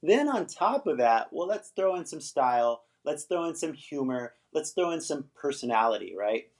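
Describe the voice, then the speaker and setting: A man's voice: a little high, sing-song, normal speed. Chatty middle-aged man, rambling talk. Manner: rambling, chatty, keeps asking "right?". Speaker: host teaching English. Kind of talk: casual livestream chat answering viewers. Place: Los Angeles.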